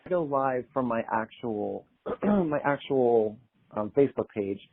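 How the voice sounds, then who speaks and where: A man's voice: medium pitch, animated, unhurried, breathy edge. A young man from Pennsylvania, a singer-songwriter guest, chatting in an easygoing way and laughing often. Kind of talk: casual interview chat. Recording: tinny phone-like line.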